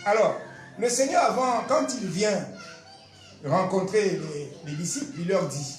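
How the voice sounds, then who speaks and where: A man, a pastor giving an animated, emphatic testimony and teaching with repetitive storytelling. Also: high voice, unhurried. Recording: roomy echo, faint music behind.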